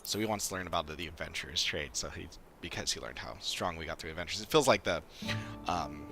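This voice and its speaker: A man's voice: a bass voice, expressive, fast, creaky. A man giving casual live game commentary as a streamer, rambling and thinking aloud between bits of text he reads out. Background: loud game music.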